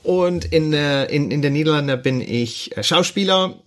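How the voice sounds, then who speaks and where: A man's voice: on the high side, measured pace. A Dutch man, a podcast guest, chatting casually in a second language, cheerful and laughing often, sometimes searching for words. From Netherlands. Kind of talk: casual chat.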